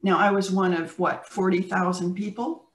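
An older woman with an American accent, talking in a measured, reflective way as an interview guest on a video call. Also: lowish voice, steady tone. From United States. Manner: measured, thoughtful, with pauses and restarts. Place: home room with plants, indoors. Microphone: video call webcam. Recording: roomy video-call audio from a distant mic.

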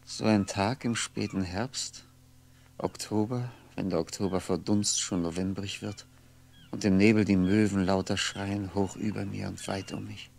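An actor playing a lonely, world-weary aging man, musing haltingly to himself in a 1960s radio drama monologue, with a gravelly voice. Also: lowish voice, a little mellow. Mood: wistful reverie. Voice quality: gravelly, often sinking into breathy whisper. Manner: halting, brooding, fragmented musing with pauses. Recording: 1960s radio recording, faint noise.

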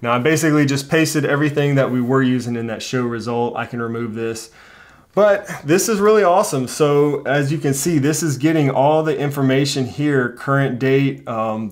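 A man in his thirties with an American accent, talking informally and thinking aloud as he hosts a tech tutorial. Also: mid-range voice. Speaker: tutorial host. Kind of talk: casual tech tutorial talk. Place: small indoor home studio.

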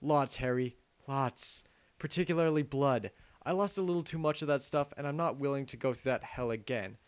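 A man's voice: mid-range voice, moderate pace, smooth, natural voice. A man voicing a cocky, crude, reluctant adventure hero in an amateur parody game dub, with casual comic delivery. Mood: exasperated.

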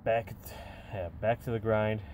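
A man's voice: on the low side, slight background noise. A tired American man in his forties, a truck driver, chatting casually and rambling from inside his truck cab into a phone camera. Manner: rambling, relaxed, many filler pauses. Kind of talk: casual vlog chat. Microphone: phone or dash camera at arm's length.

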